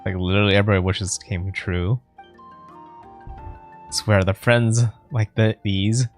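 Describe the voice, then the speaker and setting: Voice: low-pitched, fairly even intonation, measured pace, faint music behind. A streamer giving casual playthrough commentary on a video game, reacting informally.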